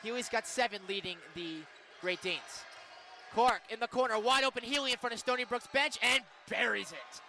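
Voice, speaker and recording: A man calling basketball play-by-play on the radio, with excitable, punchy calls. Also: very high voice, normal speed. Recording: arena crowd and court noise behind. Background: basketball game in an arena.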